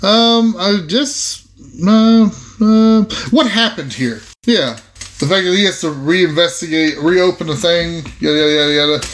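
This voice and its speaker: Male tabletop player, lightly raised pitch, casual chat, talking through his moves. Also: unhurried, a bit bright.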